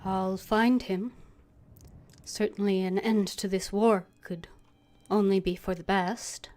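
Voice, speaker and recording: A woman playing a composed, willing adventurer hero in a fantasy video game, replying briefly and in a measured way. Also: medium pitch, fairly even intonation, darkish tone, natural voice.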